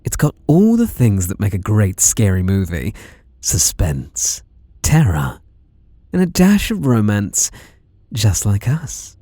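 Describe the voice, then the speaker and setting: A British man playing an obsessive, seductively playful masked killer, taunting in whispery, drawn-out questions close to the mic in an ASMR roleplay. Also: fairly low pitch, wildly swooping pitch, mellow, no room sound, exaggerated voice. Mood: smug, menacing. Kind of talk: ASMR roleplay, intimate monologue. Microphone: close microphone.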